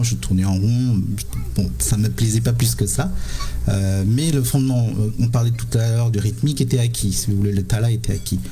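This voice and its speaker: A man in his thirties answering interview questions spontaneously, haltingly and searching for words. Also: on the low side, dark tone. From Sri Lanka. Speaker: interviewed musician and music teacher.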